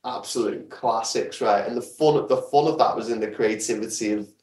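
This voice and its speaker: A man's voice: lowish voice, normal speed, a little mellow. Man, echoey and distant from mic. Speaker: podcast guest. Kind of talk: casual chat.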